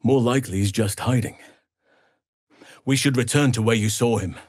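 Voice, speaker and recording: An actor playing a big, calm, earnest male elf druid in a fantasy video game, speaking in a measured, gentle, solemn way. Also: medium pitch, no echo, clean studio sound, close to an everyday voice. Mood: firm.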